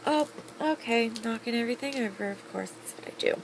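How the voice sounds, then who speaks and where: A woman's voice: a little high, a little fast, gravelly, very noisy. A woman vlogger reviewing products in a casual, chatty, upbeat way, rambling with fillers. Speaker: beauty vlogger.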